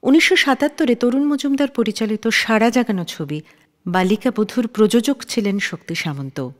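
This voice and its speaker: A woman's voice: on the high side, normal speed. A woman telling a scripted story as a radio show host. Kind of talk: scripted radio storytelling narration about film history. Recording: long reverb on the voice.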